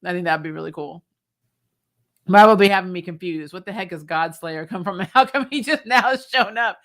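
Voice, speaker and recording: Woman w a lowish bright punchy voice, casual livestream host talk, blunt, swears a bit. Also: fairly quick. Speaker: host of a fan recap show. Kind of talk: casual livestream chat about TV shows.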